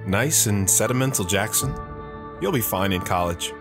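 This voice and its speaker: A man's voice: lowish voice, lively intonation, normal speed, over music. A man playing an earnest, awkward teenage boy who tells his own story in an audio drama. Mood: plain. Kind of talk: first-person dramatic narration with acted dialogue.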